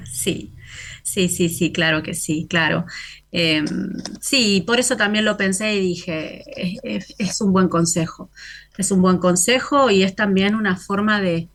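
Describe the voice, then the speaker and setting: Reflective Argentine woman poet, halting. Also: medium pitch. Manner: reflective, halting, with frequent restarts and soft laughs. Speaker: guest poet and novelist. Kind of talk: radio interview. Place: remote, from Italy.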